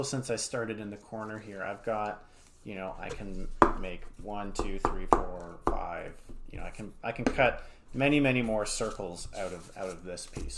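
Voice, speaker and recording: A man giving a casual, step-by-step craft tutorial as an art educator, rambling a little with informal asides. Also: on the low side. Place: home studio. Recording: clear background noise, small clicks.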